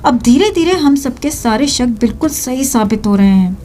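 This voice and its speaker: A woman's voice: sits high, lively intonation, moderate pace, mellow, slight background noise. A woman with an Indian accent reading scripted news to viewers in an emphatic, sensational manner. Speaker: video news narrator.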